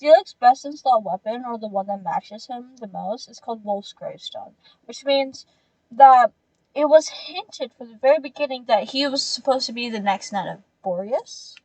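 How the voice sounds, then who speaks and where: A woman streaming a game with a high voice and a dry, close sound. She comments casually and excitably, full of exclamations and offhand swearing. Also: normal speed, no room sound, low-quality recording. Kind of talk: casual reaction commentary while playing a story game.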